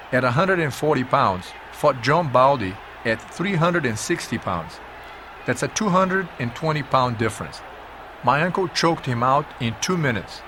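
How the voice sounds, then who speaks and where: A man narrates a historical sports documentary from a script in a measured, emphatic storytelling manner. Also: medium pitch, noisy background.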